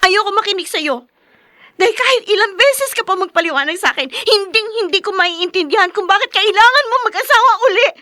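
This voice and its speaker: An actress playing a grieving, resentful daughter in a radio drama, tearful and pleading, with a Filipino accent. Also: female voice, extremely high pitch, animated, a little fast, pushed and bright, no room sound, clean studio sound, full character voice. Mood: angry, tearful. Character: grieving, resentful daughter hurt by father's remarriage.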